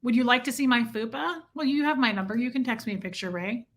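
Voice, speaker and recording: A chatty woman hosts a relaxed livestream, reacting to her viewers' comments as they come in. Her voice sits a little high and sounds bright and clear. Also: fast. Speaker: livestream host reading chat. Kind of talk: casual livestream chat. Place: home room with a heater.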